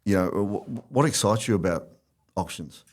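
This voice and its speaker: A man's voice: fairly low pitch, animated, moderate pace. A man, hosting an interview and asking loose, rambling questions. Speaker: podcast host.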